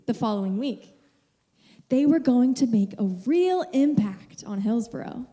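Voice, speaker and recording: Woman as teen girl narrator, mellow fairly high voice, speaks to audience. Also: close to an everyday voice. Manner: storytelling to audience. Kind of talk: staged reading of a musical.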